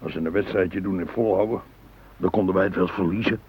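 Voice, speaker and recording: An actor playing a blunt, cynical middle-aged husband in a naturalistic radio drama, gruff, sarcastic and complaining, with a husky voice. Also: male voice, low-pitched, steady tone, quick pace, heavily acted. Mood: sarcastic, grumbling. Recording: phone-like, narrow radio recording.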